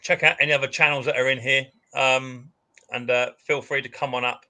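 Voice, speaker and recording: A man's voice: mid-range voice, level tone, brisk, pushed and bright. A man hosting a casual livestream, chatting and teasing his viewers. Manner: chatty, teasing, banter-heavy. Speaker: livestream host.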